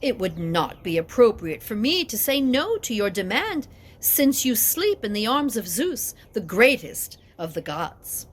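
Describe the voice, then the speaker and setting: A woman's voice: fairly high pitch, very animated, unhurried. A woman voicing an obliging young love goddess in an epic tale, deferential and formal. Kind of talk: dramatic epic storytelling.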